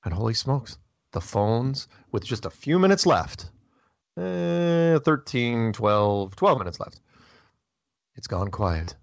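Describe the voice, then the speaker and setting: A man's voice: mid-range voice, big pitch swings, takes it slowly, creaky, dry recording, muffled recording. A man hosting a call-in show, chatty and joking in casual talk. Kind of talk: casual call-in radio chat.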